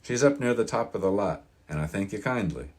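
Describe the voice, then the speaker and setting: Man playing elderly storybook old-timer, crusty, grating, folksy, proud, very lively intonation, raised pitch. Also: brisk, natural voice. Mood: folksy, opinionated. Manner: folksy, emphatic, rambling. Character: crusty old mountain-town man, proud, opinionated, wistful. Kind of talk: audiobook character reading.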